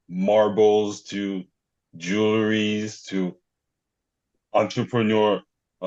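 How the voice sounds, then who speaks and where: A male host with a lowish voice speaks slowly and haltingly, repeating words and filling gaps. The recording sounds dull and lo-fi. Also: darkish tone. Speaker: podcast host. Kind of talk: interview conversation.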